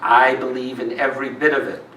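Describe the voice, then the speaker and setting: A man giving an expressive live poetry reading, phrasing each line deliberately and dramatically. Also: mid-range voice. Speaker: poet reading own work. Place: live reading in a roomy indoor venue. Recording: roomy live recording, distant mic, noisy.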